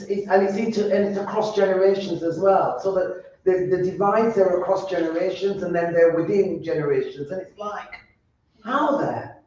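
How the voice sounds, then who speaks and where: A woman's voice: fairly low pitch, a little mellow. A woman with a broad Yorkshire accent speaking earnestly on a roundtable panel, often stopping and restarting her words. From Yorkshire, England. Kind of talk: roundtable discussion. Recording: echoey, distant, dull sound.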